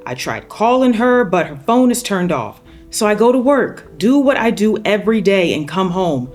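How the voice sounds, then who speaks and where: A woman's voice: mid-range voice, close to an everyday voice. Woman reading as a weary, angry mother. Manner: folksy, blunt, no-nonsense storytelling. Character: angry, weary mother of a missing teenage daughter. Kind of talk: screenplay table read.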